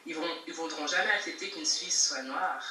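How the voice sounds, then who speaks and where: A woman's voice: deep voice, normal speed, pushed and bright. Emphatic young woman, echoey call. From Geneva, Switzerland. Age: young adult. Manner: emphatic, repeats herself, laughs between points. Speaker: guest rapper. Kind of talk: casual interview answers. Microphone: video call. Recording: echoey distant call audio with faint noise.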